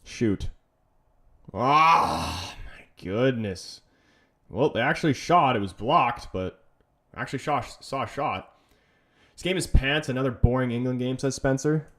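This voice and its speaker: A man's voice: mid-range voice, lively intonation, measured pace, long pauses, a bit bright. A young man from Canada hosting a football watch-along stream, chatting with viewers and reacting to the match with frustrated, rambling bursts. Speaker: livestream watch-along host. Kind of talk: casual live-stream chat and match reaction.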